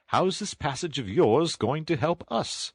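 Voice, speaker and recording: Man putting on a high, swooping, vain storybook toad. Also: lively intonation, a little slow, slightly rough, dry recording, clean studio sound, heavily acted. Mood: boastful, airy. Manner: boastful, theatrical, exclamatory. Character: vain, excitable male toad, boastful and gleeful. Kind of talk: children's storybook character voice.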